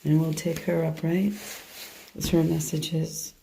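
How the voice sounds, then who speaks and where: A hoarse woman chatting through a tarot card reading, soft and meandering as she shuffles and lays out cards. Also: low-pitched, flat delivery, a little slow, background noise. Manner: soft, meandering, half to herself while handling cards. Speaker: tarot reader. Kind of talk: casual tarot reading monologue.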